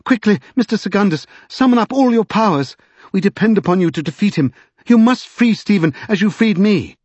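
An imperious, indignant noblewoman from a storybook, acted out by a male narrator. The pitch is raised, and the speech is formal, emphatic and urgent. The voice is a little husky, on a dull recording. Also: brisk, no room sound, natural voice. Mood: urgent, commanding. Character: indignant, strong-willed aristocratic lady, urgent and imperious.

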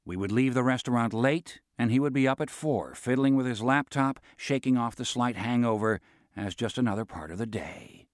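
A man narrating a first-person novel in a wry, measured storytelling manner. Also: medium pitch, no room sound, studio quality. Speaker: audiobook narrator.

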